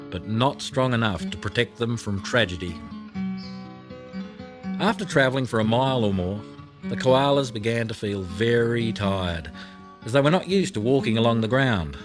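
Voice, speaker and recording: A man reading a children's story audiobook as narrator, with expressive storytelling delivery. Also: medium pitch. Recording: music behind the voice.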